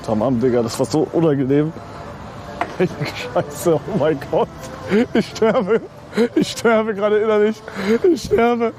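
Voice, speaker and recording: Young male streamer, high-pitched, rambling slangy chat from a bike, street noise throughout. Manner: slangy, excitable, swears a lot. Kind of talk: live outdoor stream chat. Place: outdoors on city streets. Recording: outdoor street noise with traffic.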